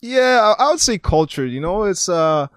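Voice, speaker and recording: Young man from Poland, smooth clear voice. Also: sits high, moderate pace, dry recording, clean studio sound. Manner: relaxed, filler-heavy, often adds "you know". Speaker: guest. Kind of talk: casual interview chat.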